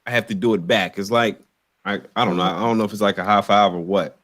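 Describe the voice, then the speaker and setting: Low-voiced man hosting a casual podcast. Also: fairly even intonation, fast talker, fairly bright tone. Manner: loose, rambling, repeats words. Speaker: podcast host. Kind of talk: casual live-stream chat.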